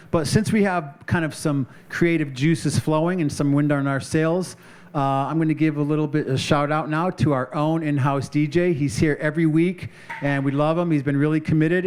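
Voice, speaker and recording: A man's voice: on the high side, clean tone. A man hosting a community radio show, introducing guests with easy fillers. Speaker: host.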